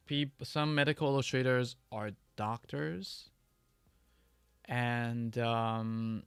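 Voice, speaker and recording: Gravelly-voiced man chatting on a livestream. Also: mid-range voice, dry recording. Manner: halting, many restarts and fillers. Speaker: livestream host. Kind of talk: casual livestream chat answering viewer questions.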